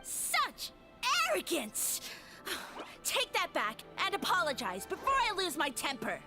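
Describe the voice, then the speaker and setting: A woman's voice: very high voice, huge pitch swings, takes it slowly, over music, heavily acted. A woman voicing a pompous evil mushroom lord in a video game, haughty and grandiose, speaking formally and demanding worship. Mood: indignant. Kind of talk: video game character acting.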